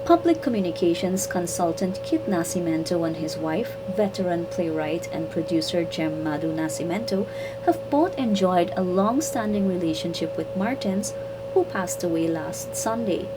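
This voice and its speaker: A woman reporter reading a scripted news story in a measured, formal way. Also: lowish voice, low-quality recording. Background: music bed.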